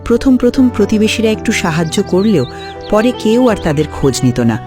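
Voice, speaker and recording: High-pitched male cartoon story narrator. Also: big pitch swings, normal speed, over music.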